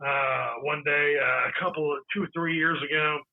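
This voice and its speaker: A raspy-voiced man chatting excitedly on a casual podcast, repeating words as he rushes on. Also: a little high. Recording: tinny phone-line sound.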